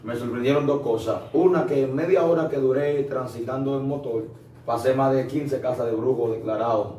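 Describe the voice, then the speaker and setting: A man hosting an interview, asking probing questions in an informal way with quick affirmations. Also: mid-range voice, mellow. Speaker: interviewer host. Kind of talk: casual interview. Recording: roomy echo, distant mic, faint noise.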